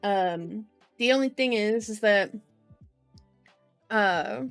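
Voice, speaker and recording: A woman's voice: mid-range voice, slow, creaky. A woman hosting a livestream in casual chat, with a playful, childlike way of phrasing things and a habit of repeating herself. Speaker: VTuber livestream host. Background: background music.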